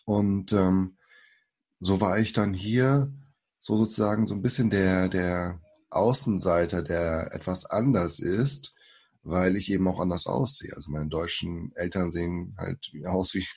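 A man in his forties, born in Brazil, speaks as a podcast guest. His voice sits very low with a gravelly, husky texture. He talks in a hesitant way, with many fillers and restarts. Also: unhurried, darkish tone. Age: 40s. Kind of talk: casual interview talk.

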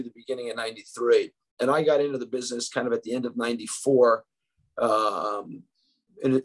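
A man in his fifties with a New York accent, speaking as a podcast guest. He tells his story in an easy, reflective way, often restarting a phrase with small fillers. His voice sits at an ordinary middle pitch. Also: low-quality recording. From United States. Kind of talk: conversational interview storytelling.

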